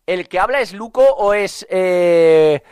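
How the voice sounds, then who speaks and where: A man's voice: sits high, animated, slow, a little mellow, smooth, no room sound, studio quality. A man hosting a sports radio talk show while congested with a cough, chatty and repeating himself for emphasis.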